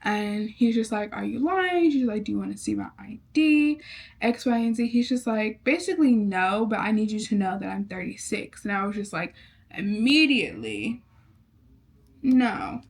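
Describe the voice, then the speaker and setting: A woman's voice: mid-range voice, expressive, normal speed, creaky, breathy edge. A young woman casually recapping a novel she is reading, chatty and rambling, with lots of fillers. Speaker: book vlogger.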